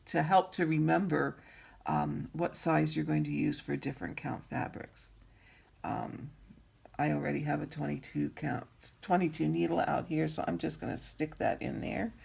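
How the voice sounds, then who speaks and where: Woman, raspy, gravelly fry, slow, home craft tutorial. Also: low-pitched, fairly even intonation, darkish tone. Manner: slow, unhurried, frequent ums and throat clearing. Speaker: embroidery teacher.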